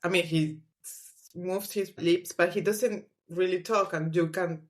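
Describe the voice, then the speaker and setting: A woman's voice: fairly low pitch, fairly even intonation, unhurried. Hesitant woman, Spanish her first language. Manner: thoughtful, hesitant, trailing off, laughs easily. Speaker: guest, scientist. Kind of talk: casual book club discussion.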